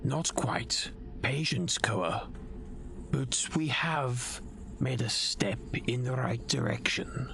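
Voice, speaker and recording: A man playing a scheming, condescending nobleman in an audio drama, gravelly and whispery, with patronizing, theatrical delivery. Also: mid-range voice, expressive, slow, a bit bright, slight background noise, full character voice. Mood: soothing. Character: scheming, condescending nobleman, silky villain plotting a coup.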